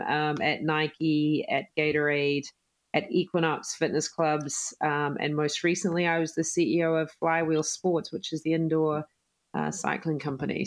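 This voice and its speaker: A woman from New Zealand with a New Zealand accent, a guest talking enthusiastically in a casual interview. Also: sits low. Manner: enthusiastic, laughs easily. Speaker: guest, business executive.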